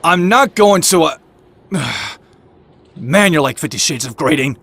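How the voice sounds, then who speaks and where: An actor playing a wisecracking, cynical young vigilante antihero in an animated comic, narrating himself in a dry, sardonic manner. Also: male voice, fairly high pitch, a little slow, noisy background. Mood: wry. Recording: roomy, echoing sound.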